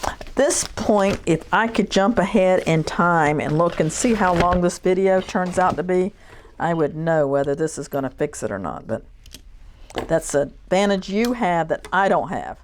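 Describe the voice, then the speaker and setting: A woman teaching shear sharpening, talking in a relaxed way and thinking aloud as she works in her workshop. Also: fairly low pitch, normal speed, a little hiss or hum. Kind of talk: hands-on demonstration talk.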